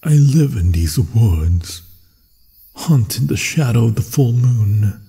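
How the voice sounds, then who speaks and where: A man's voice: mid-range voice, expressive, takes it slowly, gravelly, warm tone, breathy edge, pitched above the performer's own voice, exaggerated voice. A man voicing a hungry, possessive werewolf in an ASMR fantasy roleplay, savoring his words seductively and often slipping into whispers. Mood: hungry, teasing. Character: hungry, possessive male werewolf, menacing yet infatuated. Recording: long cavernous reverb.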